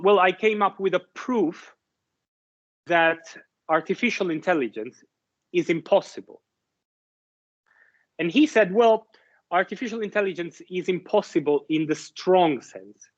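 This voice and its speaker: A man giving a university lecture, explaining ideas haltingly with many restarts and fillers, often checking with his listeners. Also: fairly high pitch, muffled recording.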